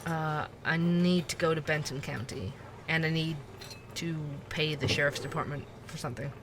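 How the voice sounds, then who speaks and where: A man's voice: fairly high pitch, fairly even intonation, normal speed, background noise, natural voice. An actor playing a curious male investigative podcaster, who narrates and probes with questions. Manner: inquisitive, probing.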